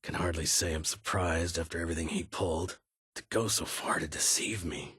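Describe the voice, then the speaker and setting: Video game security chief: guarded, authoritative, measured, very husky voice, close dry recording. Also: male voice, low voice, steady tone, a bit bright, dry recording, heavily acted. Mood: bitter, betrayed. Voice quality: husky, raspy. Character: stern, guarded male security chief of a post-apocalyptic vault.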